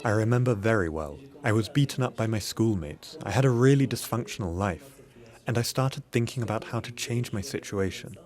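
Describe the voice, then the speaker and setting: Low-voiced man reading a first-person translation. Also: a little fast, darkish tone. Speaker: voice-over translator for an interviewee. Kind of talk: read translation voice-over.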